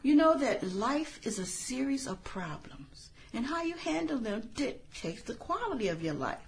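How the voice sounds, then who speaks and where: A woman playing an earnest, idealistic, reserved filmmaker in a satirical radio drama, speaking in a measured, explanatory, slightly formal way. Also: high voice, sing-song, slight background noise. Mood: reserved, friendly.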